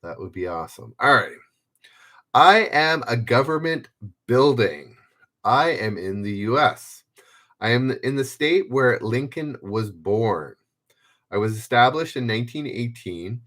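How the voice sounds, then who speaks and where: A man's voice: mid-range voice, animated, a little slow, a bit bright. A man hosting a trivia livestream, reading clues in the first person and chatting casually with viewers by name.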